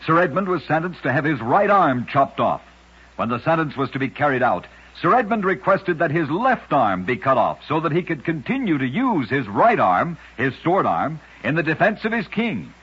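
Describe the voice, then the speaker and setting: A man hosting an old-time radio program, narrating scripted strange tales in a dramatic, deliberate storyteller's delivery. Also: fairly high pitch, very animated, slightly rough. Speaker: radio host and narrator of oddities. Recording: dull lo-fi vintage radio recording.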